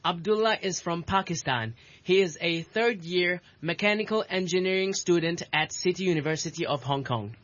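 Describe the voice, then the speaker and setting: A man's voice: high-pitched, normal speed, a bit bright, no room sound. A man from Bangladesh, co-hosting a chat show in an easygoing way and asking follow-up questions. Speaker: co-host. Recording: dull, dry audio.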